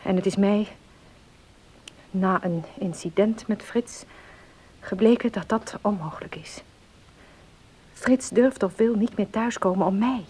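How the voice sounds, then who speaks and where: A woman's voice: medium pitch, unhurried, long pauses, dark tone, breathy edge. An actress playing an earnest, troubled young woman in a Dutch radio play, speaking politely, formally and with some hesitation. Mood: troubled, pleading.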